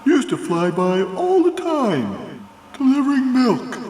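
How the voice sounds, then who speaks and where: A man's voice: very high voice, very animated, a little mellow, roomy sound, slight background noise, pitched above the performer's own voice, heavily acted, low-quality recording. A man doing a sleepy, deaf old Moon. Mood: drowsy explaining. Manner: drowsy, mishearing, slow drawl.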